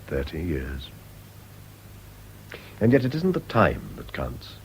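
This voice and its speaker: An actor playing a brooding, sharp-tongued wealthy gentleman in a radio drama, with a theatrical, clipped, intense delivery. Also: low-pitched, huge pitch swings, slow, exaggerated voice. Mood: subdued, wistful. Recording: faintly noisy radio drama recording.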